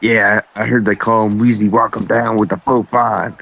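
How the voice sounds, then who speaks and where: A man's voice: on the low side, little pitch movement, moderate pace, creaky, fairly bright tone, poor audio. Laid-back, swearing male color commentator. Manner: laid-back and heavily profane. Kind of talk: casual profane fight commentary.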